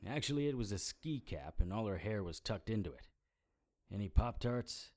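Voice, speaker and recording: Male actor as hard-boiled paranormal investigator, very low pitch, deadpan, wry, noir radio play. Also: moderate pace, natural voice. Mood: teasing, dry. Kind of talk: noir audio drama narration and dialogue.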